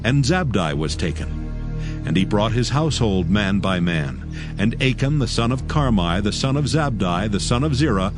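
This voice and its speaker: Low-voiced solemn male Bible narrator. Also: animated, normal speed. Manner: formal, solemn. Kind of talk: scripted dramatized Bible narration. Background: loud background music.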